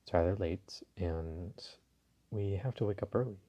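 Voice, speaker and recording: Man acting a deep, husky, flirtatious mafia boss. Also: dull audio. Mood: seductive, amused. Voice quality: husky, low. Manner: slow, teasing, courteous, softly chuckling. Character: suave dominant flirtatious male mafia boss. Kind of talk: intimate audio roleplay addressed to the listener.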